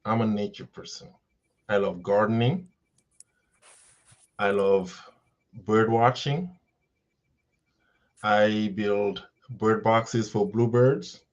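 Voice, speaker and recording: A man speaks slowly and thoughtfully, pausing on ums and restarting his sentences. His voice sits fairly low. The sound comes over a dull, lo-fi video-call line. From Senegal. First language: Wolof. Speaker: teacher, guest.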